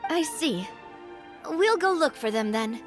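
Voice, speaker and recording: Young game heroine, high-pitched, kind and good-natured, a touch playful. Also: female voice, lively intonation, slow, faint music behind. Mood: thoughtful. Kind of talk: animated video game voice acting.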